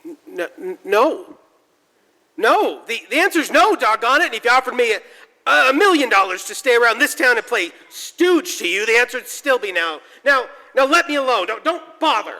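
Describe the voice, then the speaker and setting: A man's voice: very high-pitched, big pitch swings, normal speed, bright, forceful tone, heavily acted. An actor playing an earnest, folksy, stammering young family man in a 1940s-style radio drama, recorded live in a church. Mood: indignant. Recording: live church hall, long reverb.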